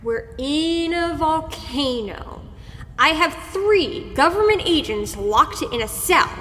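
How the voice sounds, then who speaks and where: A woman's voice: high-pitched, animated, slow, a bit bright, heavily acted. A woman playing a grandiose, theatrical young supervillain and spoiled daughter in an audio comedy drama. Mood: exasperated. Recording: echoing cavern reverb, background noise.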